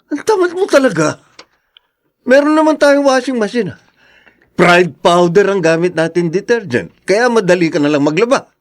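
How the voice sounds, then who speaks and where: A man's voice: high voice, very animated, moderate pace. A man acting in a radio drama as an arrogant, bitter son and political candidate, with an emphatic, accusing delivery. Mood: haughty.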